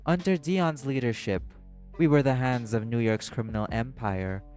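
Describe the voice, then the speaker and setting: A man playing an apathetic, guarded socialite in a crime syndicate, speaking in a measured, deliberate way in an audio drama. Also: mid-range voice, darkish tone, faint music behind, natural voice. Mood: cool, matter-of-fact. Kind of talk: dramatic audio roleplay acting.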